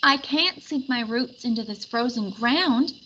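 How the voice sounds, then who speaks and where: A woman's voice: a little high, expressive, a little slow, pushed and bright, dull audio, a little hiss or hum. A woman voicing a fussy, determined little female daisy in a storybook, complaining in an emphatic, particular way.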